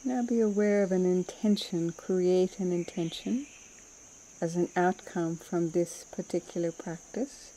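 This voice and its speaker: Slow, very mellow woman guiding meditation. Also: on the low side, slight background noise, low-quality recording. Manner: soothing, unhurried, gentle pauses between phrases. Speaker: meditation teacher. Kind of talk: guided mindfulness meditation.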